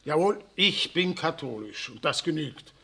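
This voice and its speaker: A man's voice: on the high side, big pitch swings, measured pace, creaky, pushed and bright, husky, exaggerated voice. An actor playing a stubborn elderly grandfather who protests indignantly in a 1960s radio drama. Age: older.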